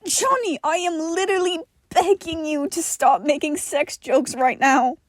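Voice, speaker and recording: A woman's voice: high voice, unhurried, no echo, voice pushed up. A woman voicing a prim, wary, exasperated man with a huffy, long-suffering manner in a story reading.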